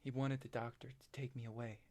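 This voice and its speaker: A man performs a self-doubting country farm boy in a stage drama. He speaks haltingly and plainly to a friend, like someone haunted and unsure of himself. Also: mid-range voice, flat delivery, mellow, natural voice. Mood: dejected. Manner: halting, hesitant, plain-spoken. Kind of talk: stage play acting.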